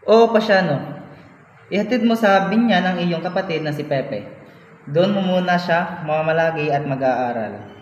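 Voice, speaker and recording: A man's voice: sits high, lively intonation, normal speed. Firm father acted by a man, distant and echoey. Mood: commanding. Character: firm father, head of the household. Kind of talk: amateur radio drama acting. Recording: distant, muffled, echoey hall, background noise.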